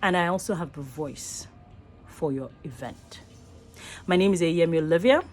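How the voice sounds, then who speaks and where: A woman's voice: low voice, moderate pace, darkish tone, a little hiss or hum. A middle-aged Nigerian woman, an actor and voice artist, musing in a rambling, earnest selfie vlog from a small room, often stopping to rephrase herself. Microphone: phone at arm's length.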